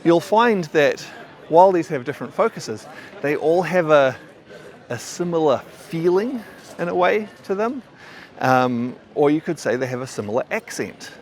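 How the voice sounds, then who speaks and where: A man's voice: fairly high pitch, expressive, normal speed. A man with a mild New Zealand accent, a qigong teacher talking casually and thoughtfully to camera, often stammering over restarts. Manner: thoughtful, rambling, frequent stammered restarts and fillers.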